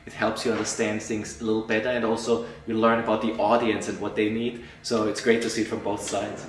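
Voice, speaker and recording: A middle-aged man from Austria, an entertainment journalist answering interview questions, animated and acting out quoted colleagues, with frequent restarts. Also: fairly low pitch, brisk, a little hiss or hum. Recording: echoey room, voice a bit far from mic.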